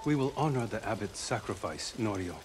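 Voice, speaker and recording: A man voicing a grave, grateful temple monk of medieval Japan in a video game, solemn and deliberate. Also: on the low side, lively intonation, natural voice. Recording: music and rain behind the voice.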